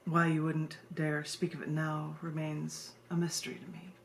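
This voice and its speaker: Low-voiced American woman poet, noisy recording. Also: monotone, normal speed, gravelly. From United States. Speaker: poet hosting an online poetry reading. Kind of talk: live poetry reading with casual asides to viewers. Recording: noisy live video stream.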